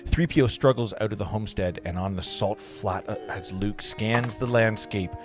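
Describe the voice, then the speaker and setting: Male narrator, lowish voice, reading scene directions with occasional stumbles over faint music. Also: moderate pace. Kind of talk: reading stage directions at a table read.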